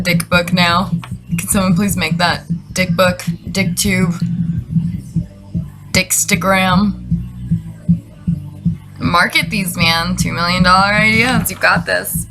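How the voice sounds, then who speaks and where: A woman with noticeable vocal fry delivers deadpan comedic commentary over a music bed. She rambles through sarcastic, incredulous asides at a middling pitch. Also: poor audio. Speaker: comedy video creator.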